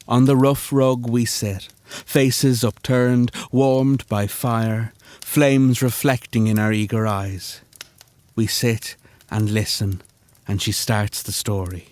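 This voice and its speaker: A man narrating scripted atmospheric fiction in a hushed, measured, poetic manner. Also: medium pitch.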